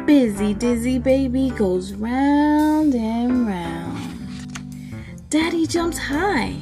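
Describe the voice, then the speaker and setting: Young female children's narrator, higher voice, slow rhythmic delivery in a radio-DJ style, music clearly underneath. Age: young adult. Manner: playful, measured, stressing the beat words. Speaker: story time radio host reading a picture book. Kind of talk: rhythmic children's picture book read-aloud in radio DJ style. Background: jazz music.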